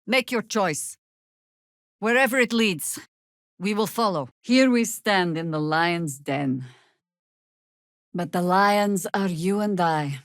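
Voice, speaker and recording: A woman's voice: lowish voice, expressive, takes it slowly, long pauses, no room sound, clean studio sound. An actress playing a seasoned, sardonic female druid warrior in a video game, with a wry, commanding and theatrical delivery. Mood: grave.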